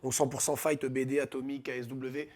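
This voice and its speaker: A man's voice: mid-range voice, flat delivery, fairly quick, a little mellow. A man with a Belgian French accent, an MMA announcer, presenting a fight card with enthusiasm and lots of fillers. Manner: enthusiastic, hesitant with many fillers, listing names. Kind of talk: casual promotional talk.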